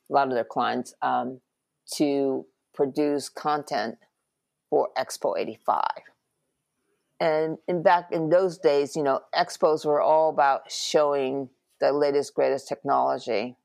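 A middle-aged woman from Japan, an animation producer, recounting her career in a relaxed interview with frequent ums and restarts. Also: low voice, gravelly, no echo. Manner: reflective, many ums and restarts.

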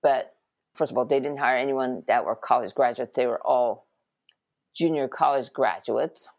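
A middle-aged woman from Japan, an animation producer, recounting her career in a relaxed interview with frequent ums and restarts. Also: extremely low pitch, moderate pace, no echo. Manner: reflective, many ums and restarts.

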